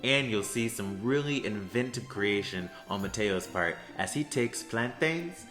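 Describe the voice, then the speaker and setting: A man's voice: fairly low pitch, animated, measured pace. A man working as a theater education associate gives upbeat, friendly explanations to students. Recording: roomy echo with soft music.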